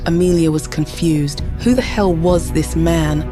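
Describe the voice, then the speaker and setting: A woman's voice: sits low, measured pace. A woman narrating a scripted audio drama as a storyteller. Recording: music behind the voice.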